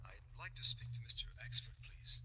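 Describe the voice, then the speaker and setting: An actor playing a nervous, polite telephone caller in a vintage radio drama. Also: male voice, normal speed, pushed and bright, poor audio. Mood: nervous, hurried. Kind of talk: 1940s radio acting.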